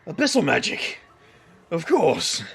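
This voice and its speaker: Man playing a gravelly, wry detective magician. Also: a little high, huge pitch swings, takes it slowly, fairly bright tone, heavily acted. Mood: tense. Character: wry, awkward male superhero detective magician. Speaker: voice actor in an audio drama. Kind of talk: audio drama acting.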